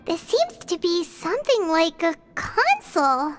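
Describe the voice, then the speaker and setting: Squeaky childlike fairy companion. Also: female voice, sing-song, unhurried, soft background music, heavily acted. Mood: indignant. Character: small childlike floating fairy companion, earnest, speaks of herself in third person. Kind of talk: video game voice acting.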